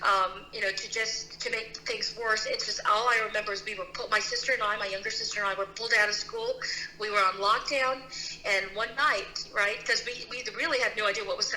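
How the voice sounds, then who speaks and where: Bright-voiced woman telling her story. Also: mid-range voice, fairly even intonation, fairly quick. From Philippines. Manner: earnest, many restarts and "you know"s. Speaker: guest, CEO and founder. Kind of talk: personal story in a casual interview. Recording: roomy with faint noise.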